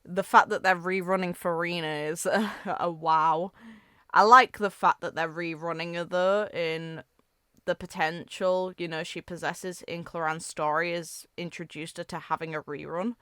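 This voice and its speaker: A woman reacting to video games in a casual, conversational way. Her voice is smooth and a touch low, recorded dry. She muses aloud, weighing her thoughts as she talks. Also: steady tone, moderate pace, pushed and bright, no echo. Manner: musing, hedging, conversational. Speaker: video game reaction creator.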